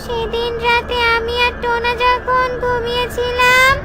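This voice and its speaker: A woman acts out the restless ghost of a wronged young woman in a cartoon. She is very high and slow, drawing out loud threats with menace, and music plays clearly behind her. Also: monotone. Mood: bitter, grieving. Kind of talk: Bengali children's cartoon voice acting.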